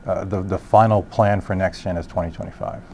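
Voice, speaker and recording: A man giving explanatory interview answers as an industry expert, haltingly rephrasing as he goes. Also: deep voice, fairly even intonation, creaky, dark tone, a little hiss or hum. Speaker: industry expert, solutions director.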